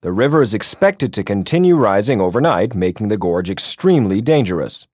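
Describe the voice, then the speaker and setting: A formal male TV newsreader acted by a man in a storybook, with a low voice that still moves a lot in pitch. The sound is dry and close, with no room around it. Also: sing-song, a little mellow, no room sound. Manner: formal, measured announcing. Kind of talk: news bulletin reading.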